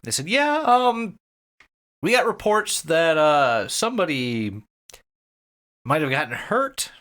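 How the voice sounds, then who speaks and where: A man's voice: a little high, sing-song, slow pace, fairly bright tone. A young man co-hosting a podcast, telling stories casually with swearing and jokes, acting out the dialogue as he goes. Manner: profane, jokey, acts out dialogue. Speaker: podcast co-host. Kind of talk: animated casual storytelling banter.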